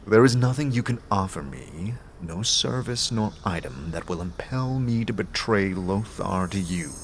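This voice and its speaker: An actor playing a sneering, secretive undead skull with stern, formal speech in a fantasy audio drama. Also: male voice, deep voice, expressive, measured pace, a little hiss or hum. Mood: cold, defiant.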